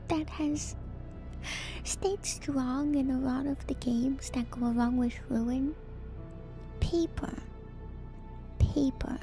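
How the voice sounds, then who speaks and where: A woman streamer whispering softly and breathily in ASMR style, reading aloud and musing in casual asides close to the microphone. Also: high voice. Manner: slow, musing, conversational asides. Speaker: streamer hosting a lore read-through. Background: music.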